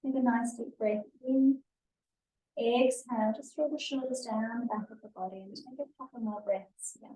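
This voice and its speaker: A woman yoga instructor leading a guided stretching and breathing class in a gentle, soothing, unhurried manner, recorded live in a studio. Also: on the high side, steady tone, a little hiss or hum. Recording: echoey room, distant mic.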